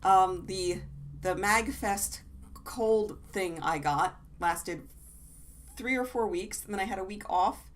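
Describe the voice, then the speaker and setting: A husky woman co-hosting a podcast, chatting casually from her desk with many fillers and restarts while fighting a cough. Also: medium pitch, takes it slowly. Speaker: podcast co-host. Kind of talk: casual chat. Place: home desk.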